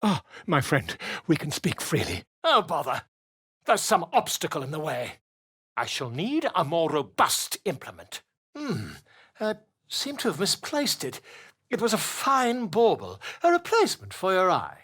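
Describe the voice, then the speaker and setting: Casting: self-important travelling author-bard, fantasy game. Grandiose, florid, highly animated intonation, husky edge. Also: male voice, unhurried, dry recording, studio quality, exaggerated voice. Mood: chatty, self-assured. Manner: florid, grandiose, wordy delivery. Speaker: video game character actor. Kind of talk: theatrical fantasy game dialogue.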